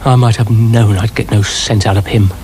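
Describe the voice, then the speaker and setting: A man's voice: lowish voice, steady tone, moderate pace, darkish tone, a little hiss or hum, natural voice. A man voicing a pompous, exasperated male dog in a cartoon, haughty and grumbling.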